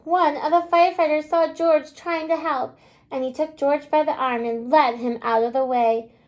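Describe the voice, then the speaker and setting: A woman kindergarten teacher reading a storybook aloud to young children in an exaggerated, child-directed way, pausing to ask simple questions. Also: high voice, steady tone. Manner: asks simple questions, pausing as if listeners answer.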